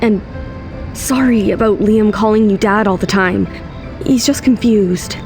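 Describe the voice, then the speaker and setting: A woman's voice: medium pitch, a little slow, a little mellow, close to an everyday voice. A woman acting a guarded, protective young single mother in an audio drama, with an emotional, defensive manner. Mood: guarded, regretful. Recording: music under the voice. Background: background music.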